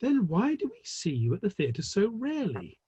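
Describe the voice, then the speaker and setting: Male actor as Victorian theatre manager, genial and teasing, husky, animated, recorded dry. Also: high voice, normal speed, no echo, heavily acted. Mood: genial, playful. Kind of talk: dramatic play reading.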